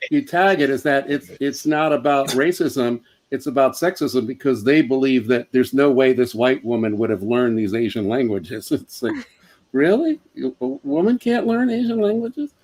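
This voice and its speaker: Male comic, medium pitch, conversational mentor, halting and repetitive phrasing. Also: animated, darkish tone. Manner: halting, repeats phrases as he explains. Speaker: comedian giving feedback. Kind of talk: casual conversational advice.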